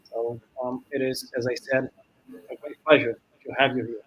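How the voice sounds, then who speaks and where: Husky Brazilian man hosting a podcast. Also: medium pitch, flat delivery, moderate pace, fairly bright tone. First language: Portuguese. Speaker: podcast host. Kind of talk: formal podcast introduction. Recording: dull lo-fi call audio.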